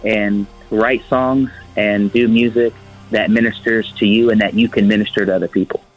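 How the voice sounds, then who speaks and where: A man giving earnest spoken advice, phrasing it haltingly. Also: on the low side, steady tone. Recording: dull muffled audio under music. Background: music with guitar.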